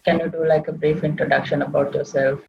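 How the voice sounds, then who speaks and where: A podcast host asking plain, hesitant questions with fillers. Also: male voice, fairly high pitch, level tone, fast talker. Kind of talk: interview questions. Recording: dull lo-fi with clear background noise.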